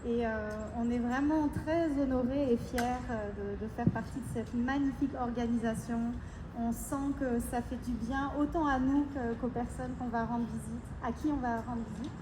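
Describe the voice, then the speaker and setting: French-accented woman musician, high voice, talking to an audience, hesitant, echoey live sound with noise behind. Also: steady tone. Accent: French (France). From France. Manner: warm, halting, many fillers and restarts. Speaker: musician addressing a concert audience. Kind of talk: spoken address between pieces at a concert. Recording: echoey live concert recording with background noise.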